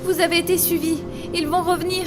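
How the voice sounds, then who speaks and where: An actress plays a young village woman who sees spirits. The voice is very high, earnest and emotionally urgent, an audio-drama performance with music clearly behind it. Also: level tone, fairly quick. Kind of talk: French audio drama acting.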